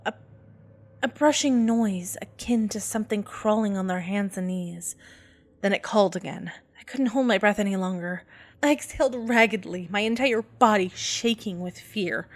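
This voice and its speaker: A woman narrating a scripted first-person horror story in an expressive, dramatic storytelling manner. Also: fairly high pitch. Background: background music.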